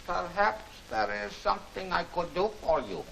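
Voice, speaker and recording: An actor playing a polite, deferential Chinese man, with a put-on Chinese accent and halting broken English, in a 1940s film serial. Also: sits high, animated, gravelly, sharp tone, exaggerated voice. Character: soft-spoken, courteous Chinese man in disguise, deferential. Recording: hissy, noisy old film soundtrack.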